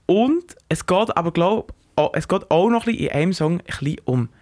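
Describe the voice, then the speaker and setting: Swiss german dude on the radio, slightly high voice, chatty, explains stuff. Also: male voice, lively intonation, brisk, a little mellow. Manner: conversational, explaining. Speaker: radio reporter. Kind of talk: radio feature narration.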